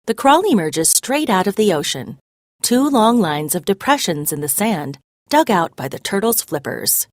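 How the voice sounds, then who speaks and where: A woman narrating a children's story aloud in an expressive, measured way. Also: medium pitch, no room sound, clean studio sound.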